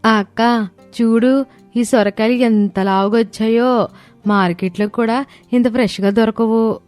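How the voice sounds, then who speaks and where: A woman's voice: on the high side, fairly even intonation, a little slow, darkish tone, dry recording, soft background music, lightly acted. A woman voicing an earnest, eager young daughter-in-law in a dramatized story. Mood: delighted.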